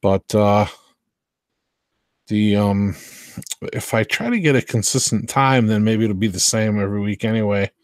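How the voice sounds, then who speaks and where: A man's voice: fairly low pitch, moderate pace, pauses often, no room sound, clean studio sound. A man hosting a live stream, chatting casually with viewers in a hesitant, unpolished way, often trailing off. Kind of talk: casual rambling chat with viewers.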